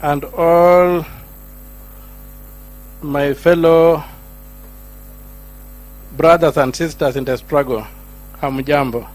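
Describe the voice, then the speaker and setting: A man's voice: a little high, steady tone, slow pace, long pauses, slight background noise, poor audio. A middle-aged man with a Kenyan accent giving a speech as a senator, moving between Swahili and English with rising, emphatic lines. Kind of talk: public speech at a gathering.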